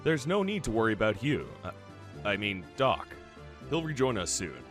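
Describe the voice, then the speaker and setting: Man playing a deep, grave ship's first officer. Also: sing-song. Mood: reassuring. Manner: measured, formal, serious. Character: grave, loyal male first officer and adviser. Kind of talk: fan radio-play acting. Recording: music behind the voice.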